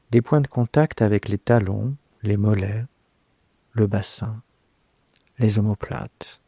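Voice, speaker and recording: A man guiding a yoga nidra relaxation in a soft, breathy, hushed voice, unhurried, with long pauses and gently repeated phrases. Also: on the low side, no room sound. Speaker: yoga nidra guide, host. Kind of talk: slow guided relaxation meditation.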